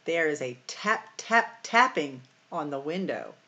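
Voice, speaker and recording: Middle-aged woman, children's storytime host, expressive read-aloud, slow deliberate pacing, indoor webcam recording. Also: deep voice, highs cut off. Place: small room at home or office, indoors.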